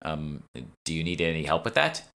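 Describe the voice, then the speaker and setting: A man's voice: extremely low pitch, sing-song, normal speed, gravelly, fairly bright tone, clear, resonant tone, exaggerated voice. Man reading as an anxious, questioning young heroine. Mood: hesitant. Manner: questioning, uneasy. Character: anxious, inquisitive young woman, fantasy heroine.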